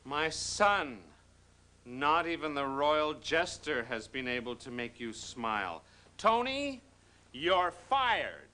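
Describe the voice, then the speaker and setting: A man playing a pompous fairy-tale king and worried father in a children's TV show, speaking in a grand, deliberate way. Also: on the high side, sing-song, bright, forceful tone, a little hiss or hum, exaggerated voice. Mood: stern.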